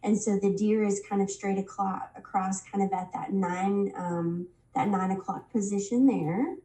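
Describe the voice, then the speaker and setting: A woman's voice: mid-range voice, fairly even intonation, warm tone, echoey room, distant mic, rough recording. A woman recovering from a head cold, leading a casual Bible study lesson and stopping often on ums. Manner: unhurried, many ums and restarts.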